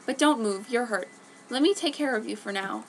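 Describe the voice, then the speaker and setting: A woman's voice: a little high, moderate pace, a little hiss or hum, lightly acted. A woman voicing a caring, bossy brotherly young man in a dramatic story reading. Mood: reassuring.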